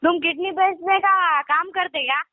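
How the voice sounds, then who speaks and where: A fifteen-year-old boy with a Hyderabadi accent speaks in a high voice. He sounds like a caller pleading and protesting in everyday conversation. The recording is a thin, dry phone line. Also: normal speed, no room sound. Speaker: caller asking for money. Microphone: telephone. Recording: tinny narrowband phone call recording.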